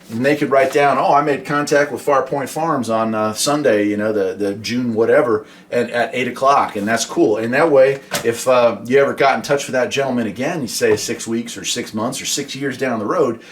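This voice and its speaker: An American man hosting a casual explainer about his radio hobby, talking in a folksy, conversational way with rhetorical questions. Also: medium pitch.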